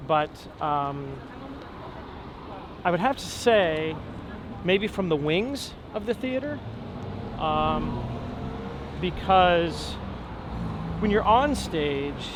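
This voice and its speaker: A relaxed man in his forties with a fairly high speaking voice, thinking aloud with plenty of ums. He talks while walking outside, and clear traffic and street sounds are heard behind him. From Long Island, New York. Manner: relaxed, thoughtful, many ums and restarts. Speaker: opera tenor guest. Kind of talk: casual interview while walking. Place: outdoors on a city street. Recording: outdoor street noise.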